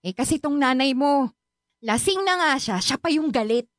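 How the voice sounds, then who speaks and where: High, reproachful Filipino aunt. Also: female voice, lively intonation, normal speed, no echo, clean studio sound. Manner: pleading, reproachful. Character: concerned aunt, indignant younger sister, protective. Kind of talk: Filipino radio drama acting.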